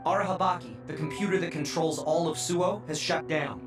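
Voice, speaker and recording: A young man's fairly high voice, performed by an actor as a steady, urgent support operator in a video game. It sounds distant and very echoey, with music behind it. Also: brisk, natural voice. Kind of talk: video game cutscene voice acting.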